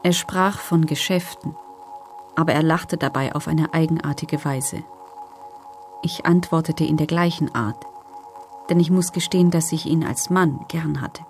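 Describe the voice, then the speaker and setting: An actress playing a composed woman prisoner, giving a sober, measured testimony of life in a camp. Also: low-pitched, music in the background. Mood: reflective, confiding. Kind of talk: dramatised testimony reading.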